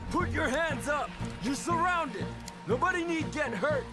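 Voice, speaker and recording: An actor voicing a proud, defiant young male rebel fighter in a video game, declaiming forcefully. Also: very high-pitched, animated, a little slow, music in the background. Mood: defiant, commanding. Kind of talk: dramatic video game acting.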